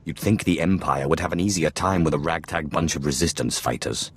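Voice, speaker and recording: An actor playing a haughty, sardonic Sith lord in a video game, speaking in a dry, unhurried way. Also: male voice, extremely low pitch, slightly rough, heavily acted. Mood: sardonic, menacing. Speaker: player character in a video game. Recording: loud music behind the voice. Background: music.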